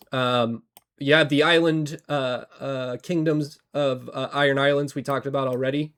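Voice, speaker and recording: A man co-hosting a podcast, slightly hoarse from a cold, talking casually with lots of fillers and restarts. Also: mid-range voice, a bit bright. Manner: rambling, many fillers and restarts. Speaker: podcast co-host. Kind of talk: casual history chat.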